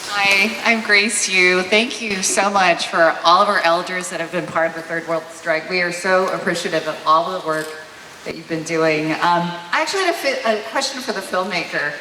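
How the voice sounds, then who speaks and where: A woman, a history teacher, asking an earnest, halting question from the audience at a panel. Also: on the low side, sharp tone, a little hiss or hum. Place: auditorium panel event. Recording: roomy hall, mic at a distance.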